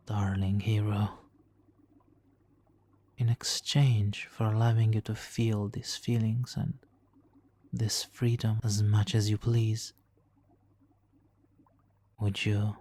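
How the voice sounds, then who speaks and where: A man playing a smug, seductive supervillain hypnotist in an ASMR roleplay, speaking softly and theatrically with lapses into whispers. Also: lowish voice, level tone, slow pace, pauses often. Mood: seductive, persuasive.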